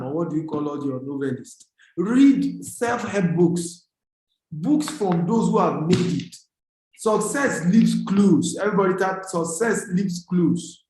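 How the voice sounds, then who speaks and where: A man's voice: on the high side, darkish tone. A man with a Nigerian accent giving an emphatic motivational business talk, exhorting his online audience and repeating key phrases. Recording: very echoey, reverberant room.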